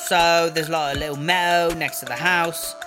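Man playing a high-voiced, rambling British inmate. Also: fairly even intonation, normal speed, natural voice. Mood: gossipy, incredulous. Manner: rambling, slangy, sweary. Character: brash, foul-mouthed, chatty British prison inmate. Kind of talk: comedy audio drama storytelling. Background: music.

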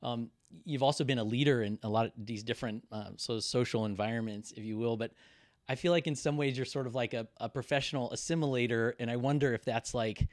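Male host, mid pitch, dry close mic; searching, filler-heavy question style. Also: quick pace, no room sound. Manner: thoughtful, searching phrasing with many fillers. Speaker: podcast host. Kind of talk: conversational interview.

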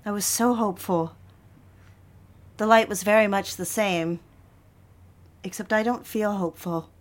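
An actress as an earnest, ambitious young secretary. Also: female voice, mid-range voice, measured pace, close to an everyday voice. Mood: hesitant, hurt. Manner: expressive, earnest. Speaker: actress narrating and playing lead. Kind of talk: dramatized first-person narration and dialogue.

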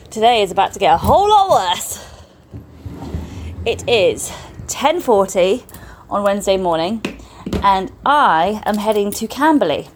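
A middle-aged woman, a comedian chatting casually to camera on her tour vlog, excitable and gushing. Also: mid-range voice, slight background noise. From England.

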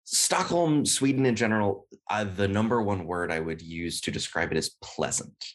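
An American man, a podcast guest, talking casually and thoughtfully in an interview, often pausing with "uh" and "you know". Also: lowish voice. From United States. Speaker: podcast guest, game writer.

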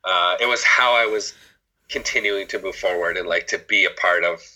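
A man's voice: low-pitched, moderate pace, pushed and bright, low-quality recording. A middle-aged man, a former ski coach, talking openly and emotionally in a personal conversation, swearing freely and often restarting his sentences.

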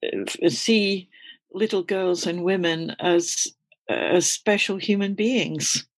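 A woman's voice: fairly low pitch, takes it slowly, no room sound. An older woman from Scotland, answering interview questions in a candid, reflective way and laughing often as she talks. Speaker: guest.

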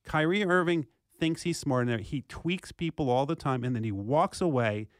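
A man co-hosting a sports talk show, arguing his opinions forcefully and repeating phrases for emphasis. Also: a little high, moderate pace, no echo, clean studio sound.

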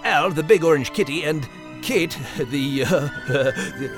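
A man's voice: a little high, slow pace. A man playing a plucky, grumbly but good-hearted dog with a Scottish accent in a children's audio drama. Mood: folksy, blustery. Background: music.

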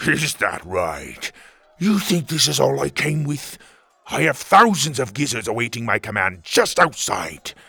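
A man voicing a boastful, threatening warlord who commands a creature army in a children's fantasy story, with a growling, gravelly voice. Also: medium pitch, expressive, moderate pace, pushed and bright, no echo, full character voice. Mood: gloating. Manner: threatening, gloating. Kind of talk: children's fantasy audiobook character.

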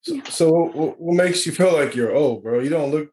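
A man's voice: on the high side, moderate pace, a little mellow, a little hiss or hum. Laid-back man in his forties. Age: 40s. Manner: laid-back, slangy, laughs often. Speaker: panel guest. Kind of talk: casual group chat.

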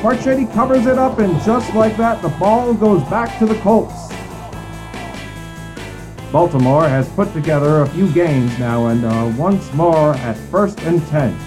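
An actor playing a brisk, dramatic 1950s football announcer, calling play-by-play in a declamatory old-time style. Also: male voice, on the high side, over music, close to an everyday voice. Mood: excited. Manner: declamatory, building excitement on plays. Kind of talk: old-time sports commentary.

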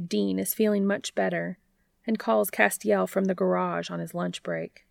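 A woman reading scripted fiction aloud as a story narrator, with a creaky voice. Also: fairly low pitch, darkish tone, no room sound, clean studio sound. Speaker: audiobook narrator of fan fiction.

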